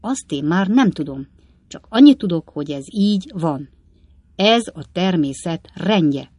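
A woman's voice: fairly low pitch, animated, a little mellow, husky, no echo. A woman voicing an old coachman father in a storybook reading, grumbling and reasoning pompously with emphatic indignation. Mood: pompous musing. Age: older.

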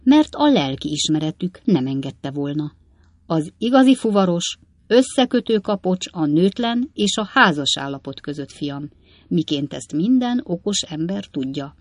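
A woman's voice: fairly low pitch, animated, no echo. A woman voicing an old coachman father in a storybook reading, grumbling and reasoning pompously with emphatic indignation. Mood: argumentative. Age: older.

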